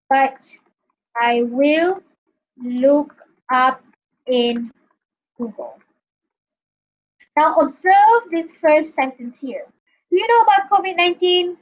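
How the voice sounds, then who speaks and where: A Malaysian woman teaching a grammar lesson in a high voice, taking her time over each point. Her recording is muffled and a little lo-fi. She keeps pausing to check that the class is following. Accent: Malaysian English. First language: not English. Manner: explains step by step, often checks with "Okay?". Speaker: university English lecturer.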